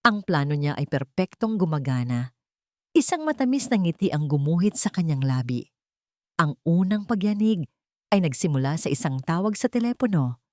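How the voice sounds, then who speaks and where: A woman narrator with a Filipino accent reads a dramatic audiobook story. Her voice sits a little low, and the recording is dry, with very little room sound. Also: sing-song, normal speed, a little mellow, no echo.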